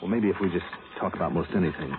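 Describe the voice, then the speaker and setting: An actor playing a wry, hard-boiled private investigator in a 1960s radio drama, speaking in a measured, colloquial way. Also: male voice, sits low, natural voice. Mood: gentle, probing. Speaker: lead detective and narrator of a radio drama. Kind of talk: 1960s radio detective narration and dialogue. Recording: muffled vintage radio recording with music.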